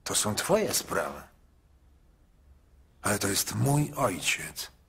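A male actor playing a grown son in a radio drama, speaking in a measured, heavy, quietly pleading way. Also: on the low side, big pitch swings, gravelly, slightly rough, exaggerated voice. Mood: weary, pleading. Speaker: son narrating his story.

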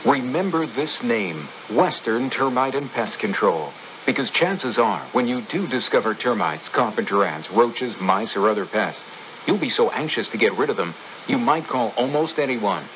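A man's voice: mid-range voice, lively intonation, moderate pace, fairly bright tone, slight background noise, rough recording. A man reading a scripted radio advertisement as an announcer. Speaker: commercial announcer.

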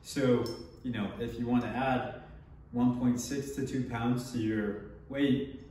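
A man's voice: mid-range voice, fairly even intonation, takes it slowly, dark tone, distant mic, slight background noise. A young man in his twenties giving a casual, joking review of gym gear in his home garage gym, filmed by a camera across the room. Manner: joking, offhand, trails off with small laughs. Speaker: fitness vlogger. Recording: echoey garage, voice off-mic.

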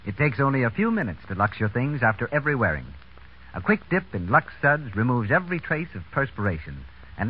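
A male announcer reads scripted copy in 1930s radio style. His mid-pitched voice is very animated and a little husky, heard through a muffled old broadcast.

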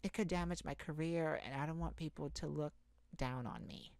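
A woman acting an exhausted, anxious pop star confiding haltingly in a bodyguard lover, in an intimate ASMR roleplay. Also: deep voice, darkish tone, no room sound. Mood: anxious, torn. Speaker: audio roleplay performer.

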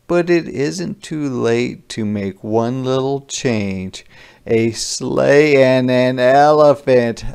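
A man reads a children's story in a clear, resonant voice with some gravelly fry at the edges. He goes very slowly and deliberately. Key words get dramatic weight, and line endings are drawn out. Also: mid-range voice, darkish tone. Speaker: storybook reader. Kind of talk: expressive scripted children's read-aloud.

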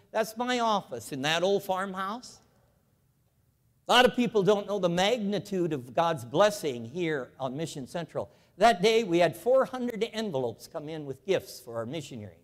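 A man in his seventies giving a lively, folksy, emphatic storytelling speech to a church convention, walking the floor with a lapel mic. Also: high voice, big-room sound. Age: 70s. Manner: animated, folksy, emphatic repetition. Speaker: convention speaker. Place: large convention hall.

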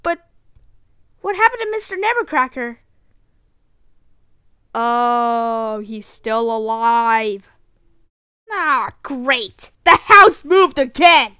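A woman voicing an excitable, easily panicked kid in a monster adventure game, with a wide-eyed, exclamatory manner. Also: on the high side, drawn-out words, long pauses, clean tone, no echo, studio quality, full character voice. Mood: alarmed, worried. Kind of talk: video game voice lines.

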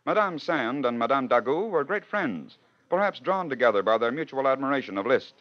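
A man's voice: mid-range voice, sing-song, brisk. A man hosting and narrating in scripted 1940s radio style, with a rhetorical storytelling manner. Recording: dull old radio broadcast.

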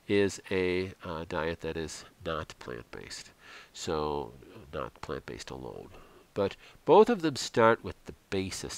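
Middle-aged male lecturer, low-pitched, earnest and instructive, close-mic voice-over. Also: fairly bright tone. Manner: earnest and instructive, frequent fillers. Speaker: chiropractor presenting a health program. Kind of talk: slideshow health lecture voice-over.

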